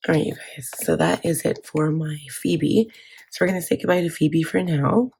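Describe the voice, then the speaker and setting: A woman's voice: sits low, normal speed, vocal fry, darkish tone. A woman with a scratchy voice chatting casually to her channel, with frequent fillers and restarts. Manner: chatty, filler-heavy, self-correcting. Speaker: planner vlogger. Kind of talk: casual chat.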